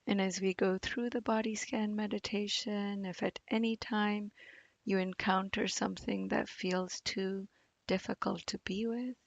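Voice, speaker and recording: A woman meditation teacher leading a guided practice in a gentle, soothing manner, leaving long pauses between phrases. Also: medium pitch, little pitch movement, gravelly, no echo, highs cut off. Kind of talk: guided body scan meditation.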